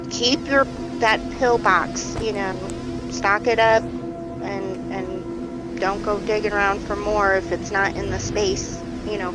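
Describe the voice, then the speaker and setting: A woman's voice: medium pitch, close to an everyday voice, poor audio. A woman playing a caring, tactful doctor in a naturalistic fiction podcast, advising in a tentative, conversational way.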